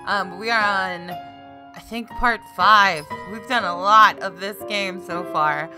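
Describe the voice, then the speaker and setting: A woman's voice: mid-range voice, slow pace. A woman hosting a let's play, reading visual novel narration dramatically with casual, informal asides. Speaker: gaming video host. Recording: loud game music behind the voice. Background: game soundtrack.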